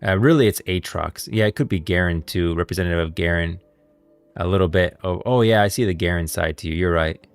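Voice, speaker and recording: A man's voice: deep voice, a little fast, clear, resonant tone, dry recording, soft background music. A man streaming casual live commentary on game lore, rambling and repeating phrases as he reasons aloud.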